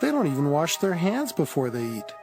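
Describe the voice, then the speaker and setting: A man's voice: fairly high pitch, huge pitch swings, a little fast, darkish tone, soft background music. An actor playing an indignant male religious scholar, accusing and questioning, in a dramatized audio Bible.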